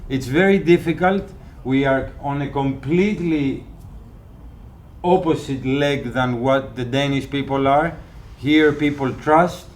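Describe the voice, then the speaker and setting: A man's voice: mid-range voice, measured pace, slight background noise. Wry Greek-accented man. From Greece. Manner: wry and joking, with laughs. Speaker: island cooperative member. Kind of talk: documentary interview.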